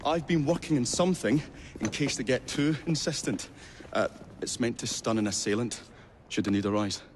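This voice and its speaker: An actor playing a nervous, eccentric inventor in a video game, with a hesitant, wordy manner. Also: male voice, mid-range voice, moderate pace, slight background noise, close to an everyday voice. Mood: nervous, eager. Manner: hesitant, fussy, flustered, wordy. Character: nervous, eccentric, earnest male inventor, adult. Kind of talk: video game cutscene acting.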